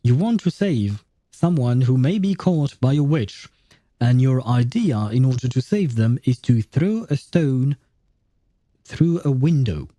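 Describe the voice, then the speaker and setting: A man's voice: medium pitch, lively intonation, unhurried, mellow, dry recording. A man reading a game story aloud and adding casual, sardonic commentary as a streamer. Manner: sardonic asides. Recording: background music behind voice.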